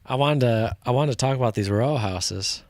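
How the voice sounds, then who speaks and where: A man's voice: mid-range voice, moderate pace, darkish tone, dry recording, studio quality. A man co-hosting a live stream, chatting casually and reading viewer comments in an easygoing, agreeable way.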